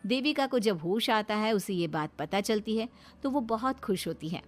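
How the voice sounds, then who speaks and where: A woman's voice: medium pitch, lively intonation, normal speed, faint music behind. A woman giving scripted narration for a Hindi cartoon story.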